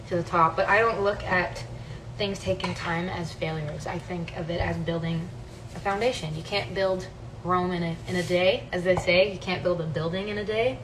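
A young woman chatting casually on a livestream in her home kitchen, reading fan questions aloud and answering them thoughtfully with many "um"s. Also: on the low side. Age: young adult. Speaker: singer hosting a livestream. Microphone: phone held close, front camera. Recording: distant phone audio with background noise.

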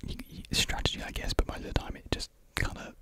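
A British man in his twenties whispering a casual ASMR ramble softly, close to a desktop microphone in a small room. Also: brisk. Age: 20s. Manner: soft unvoiced whispering. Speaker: ASMR video creator. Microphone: close desktop USB microphone.

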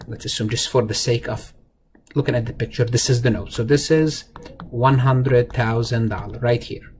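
A man giving an informal accounting lecture, explaining step by step with emphatic repetition and rhetorical questions aimed straight at the learner. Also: medium pitch. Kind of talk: explanatory lecture over slides, informal and conversational. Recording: noticeable background noise.

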